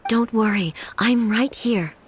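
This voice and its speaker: A woman's voice: a little high, a little hiss or hum. Solemn, composed female ruler. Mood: calm, reassuring. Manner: formal, measured, composed. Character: solemn, commanding female ruler, calm and stately. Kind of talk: dramatic video game dialogue.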